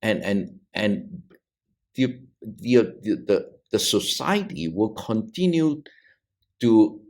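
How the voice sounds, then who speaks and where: This is a middle-aged man from Malaysia who speaks very slowly and keeps stopping to restart his sentences. His low-ish voice swings widely and expressively in pitch. He sounds like a theologian working through an idea on a panel. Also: very animated. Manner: halting, with many restarts and repeated words. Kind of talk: thoughtful discussion on a video podcast.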